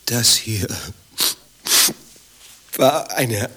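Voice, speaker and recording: Husky, bright, timid gentleman. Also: medium pitch, very slow, full character voice. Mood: moved, solemn. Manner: fussy, nervous politeness. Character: timid, polite, sentimental gentleman dragged into a quarrel. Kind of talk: German radio play acting.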